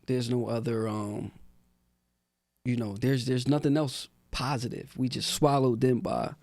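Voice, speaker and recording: Relaxed young guy from newark, raspy low-key talk, dry mic, lots of "you know". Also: measured pace, no echo. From Newark, New Jersey. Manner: laid-back, relaxed street talk with many fillers. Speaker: rapper and guest. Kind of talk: casual interview talk.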